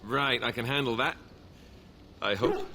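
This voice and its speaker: An actor playing a lovestruck young warrior in a video game, earnest and wryly self-mocking. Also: male voice, mid-range voice, measured pace, background noise, close to an everyday voice. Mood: affectionate, playful. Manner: earnest, teasing, wryly joking. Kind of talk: video game dialogue acting.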